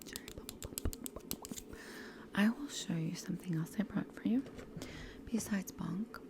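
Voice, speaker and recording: A woman whispering close to the microphone in an ASMR teacher role-play, gently repeating words and encouraging her class with patience. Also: mid-range voice. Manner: slow, gentle repetition of trigger words. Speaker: ASMR host playing a teacher. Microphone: close sensitive stereo microphone.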